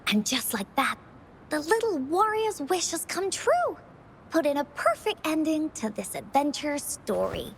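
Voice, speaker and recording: Game role: little storyteller girl. High, animated, cheerful, earnest, some background rumble. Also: creaky, full character voice. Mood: gentle narrating. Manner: dramatic storybook narrating, exclaiming. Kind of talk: video game voice acting, storytelling.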